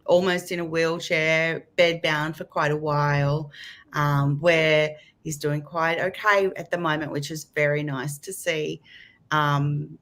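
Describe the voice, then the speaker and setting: A woman's voice: sits low, sharp tone. A woman from Australia, a chatty and slightly flustered panelist in a casual discussion.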